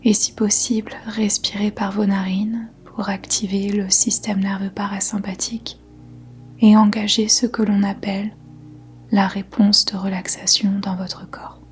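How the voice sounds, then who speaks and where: A woman guiding a yoga nidra meditation in a soft, breathy, whispery voice, calmly and in measured short phrases with gentle pauses. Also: mid-range voice. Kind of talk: guided meditation, slow soothing instruction. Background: faint music.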